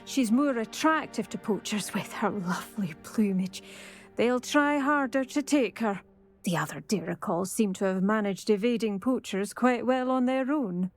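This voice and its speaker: A woman's voice: medium pitch, animated, moderate pace, faint music behind, lightly acted. An actress playing a vain, chatty, fashion-minded woman in a video game, speaking with breezy, polite self-satisfaction. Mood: coveting, self-satisfied. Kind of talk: video game character dialogue.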